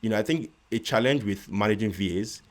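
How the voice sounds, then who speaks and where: Very deep-voiced Nigerian man. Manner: measured, with frequent restarts and stutters. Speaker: guest, real estate investor. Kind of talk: casual interview conversation.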